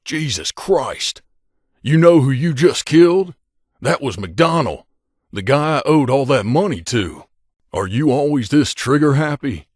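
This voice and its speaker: An actor playing a loyal, wry gunslinger companion in a video game, talking casually and familiarly. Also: male voice, mid-range voice, big pitch swings, unhurried, no echo, clean studio sound. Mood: startled, exasperated. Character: loyal wasteland gunslinger companion man, wry, devoted to his mother.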